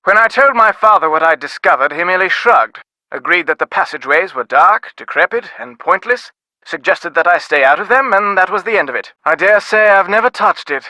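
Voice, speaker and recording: Bright-voiced, formal British gentleman diplomat. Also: sits high, animated, a little fast, dry recording, exaggerated voice, rough recording. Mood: exasperated, reserved. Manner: formal, clipped, wordy. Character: reserved, busy middle-aged upper-class British diplomat husband. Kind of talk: video game voice acting.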